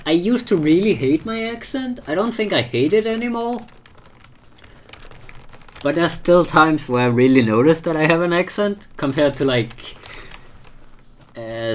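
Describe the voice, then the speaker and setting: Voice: medium pitch, a little hiss or hum. A live streamer with a non-native English accent, chatting casually while gaming, hesitant and often repeating words while searching for them. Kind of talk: casual chat while playing a game.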